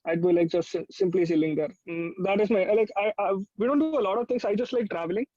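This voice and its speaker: Very high-pitched, fast South Indian man. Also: a little mellow, no echo, dull audio. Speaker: pop musician, interview guest. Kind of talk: casual chat.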